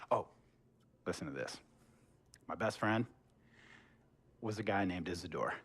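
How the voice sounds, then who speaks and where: A showy rich tech mogul in a game cutscene, rambling through grand stories in a somewhat low voice. He talks in a theatrical, chummy way. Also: expressive, slow, a bit bright, breathy edge, heavily acted. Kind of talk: video game cutscene dialogue.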